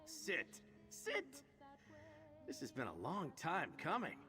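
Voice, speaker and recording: An actor playing a roguish, sincere adventurer in a video game, wry and a little haunted. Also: male voice, on the high side, wildly swooping pitch, slow, pushed and bright, faint music behind, heavily acted. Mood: playful, wry. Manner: wry banter turning earnest and reflective.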